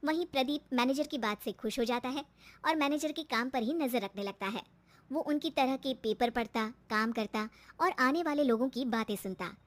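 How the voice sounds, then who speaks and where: A woman's voice: high voice, normal speed, no echo. A woman reading scripted narration for a moral cartoon story.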